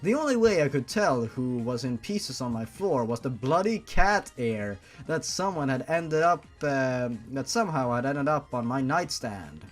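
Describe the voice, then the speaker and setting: A man's voice: mid-range voice, expressive, a little slow, hardly any pauses, faint music behind. A man reading a fan story aloud and breaking in with sarcastic, profane reactions. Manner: sarcastic and reactive, swears freely. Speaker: story reader.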